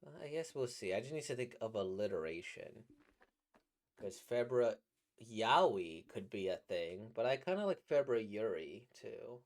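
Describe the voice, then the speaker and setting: Slightly squeaky man rambling playfully. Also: expressive, normal speed. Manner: rambling, playful, laughs at his own jokes. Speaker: voice actor reacting to comics. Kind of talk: casual reaction commentary.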